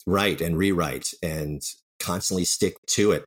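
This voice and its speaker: Low-voiced man chatting in an interview. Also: unhurried, breathy edge. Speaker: interview guest, screenwriter and producer. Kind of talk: casual conversational interview answers. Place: remote interview from home.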